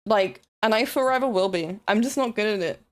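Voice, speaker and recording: A woman's voice: mid-range voice, brisk. Chatty woman with a Kiwi accent. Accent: New Zealand. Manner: rambling, chatty, self-deprecating, swears casually. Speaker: streamer. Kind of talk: casual live-stream chat while building models.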